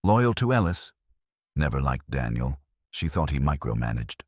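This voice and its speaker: A man's voice: extremely low pitch, sing-song, moderate pace, a little mellow, dry recording, clean studio sound, full character voice. A man voicing a cool, guarded professional woman in a detective audiobook, with clipped, composed, precise speech. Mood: cool, pointed. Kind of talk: detective audiobook character dialogue.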